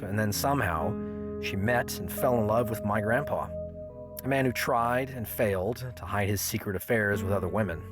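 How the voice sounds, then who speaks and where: Man as low-voiced wry narrator of family memories. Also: close to an everyday voice. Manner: wry, reflective storytelling. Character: grown son wryly recalling his odd family. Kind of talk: scripted first-person audio drama narration. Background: guitar music.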